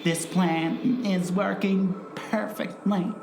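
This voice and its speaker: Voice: mid-range voice, steady tone, slow pace, darkish tone, a little hiss or hum. A performer playing a theatrical, gloating villain diva in an audio drama, with a camp, menacing drawl. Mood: smug. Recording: roomy echo, music underneath.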